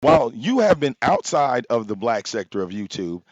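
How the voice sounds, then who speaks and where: Guy ranting live on his stream, loud voice, pitch jumping everywhere, kinda muffled dry audio, mic popping. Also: huge pitch swings, moderate pace, gravelly, dry recording. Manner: argumentative, fires rhetorical questions, profane. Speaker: livestream host. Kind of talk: confrontational live-stream rant. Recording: dull, slightly lo-fi, dry sound.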